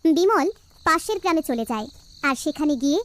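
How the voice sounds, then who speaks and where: A woman's voice: high voice, expressive, fairly quick. A woman narrator reading a scripted children's story.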